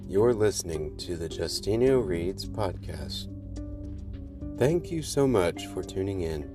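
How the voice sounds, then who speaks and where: A man hosting a podcast, reading creepy first-person stories aloud in a measured, deliberate narration. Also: low voice, expressive. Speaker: podcast host and reader. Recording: music under the voice. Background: background music.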